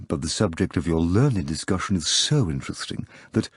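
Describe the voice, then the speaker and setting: Man playing a sly deep-voiced foreign stranger with an accent, husky and insinuating. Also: animated, fairly quick, no echo, studio quality. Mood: polite.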